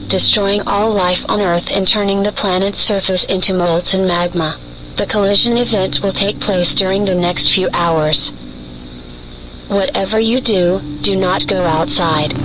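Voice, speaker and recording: A woman reading a scripted emergency alert in a formal, measured way as a broadcast announcer. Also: medium pitch, background noise.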